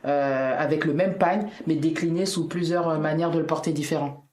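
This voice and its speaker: A man with a fairly high voice speaks hesitantly as a guest, filling pauses often. His voice carries a roomy echo. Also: steady tone, darkish tone. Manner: hesitant, with many fillers. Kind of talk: casual discussion.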